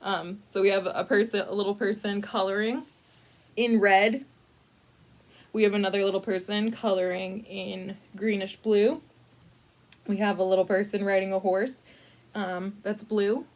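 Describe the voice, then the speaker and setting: A woman leads a casual storytime, describing a picture book as she goes. Her voice sits at an average pitch, and her delivery is conversational and wry, with playful mock-dramatic touches and pauses to think aloud. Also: steady tone. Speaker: library storyteller reading a picture book to adults.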